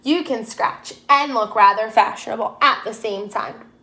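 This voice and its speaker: A woman's voice: on the high side, moderate pace, bright, forceful tone. A woman voicing a helpful, confident shoe shop keeper in a children's storybook, with obliging sales patter. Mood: helpful, assured.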